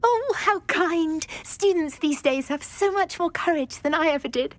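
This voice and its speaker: An actress playing a gentle, wistful and timid witch in a video game, with a British accent and a genteel, fluttery manner. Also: extremely high pitch, expressive, measured pace, dry recording. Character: gentle, wistful, timid middle-aged witch, fond of butterflies.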